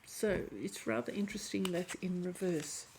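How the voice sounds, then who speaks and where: A woman giving a chatty tarot reading to camera, hoarse and often clearing her throat as her voice fades. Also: fairly low pitch, steady tone, moderate pace, darkish tone, a little hiss or hum, poor audio. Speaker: tarot reader.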